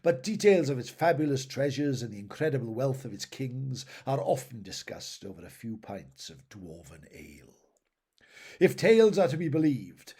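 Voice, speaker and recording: A man reading fantasy fiction aloud as a narrator, in a measured, dramatic storytelling style with phrases that tail off softly. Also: medium pitch, breathy edge.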